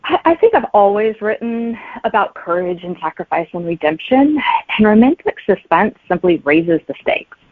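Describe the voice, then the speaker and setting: A woman's voice: mid-range voice, sing-song, moderate pace, dry recording. A woman answering casually as a guest author in an interview. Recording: thin, phone-like connection.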